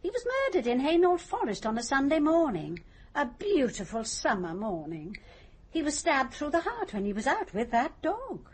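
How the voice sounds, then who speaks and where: A woman's voice: high-pitched, big pitch swings, normal speed. Swooping, gossipy Englishwoman. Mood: gossipy, dramatic. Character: fussy, gossipy middle-class woman householder, wryly disapproving. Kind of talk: radio drama acting.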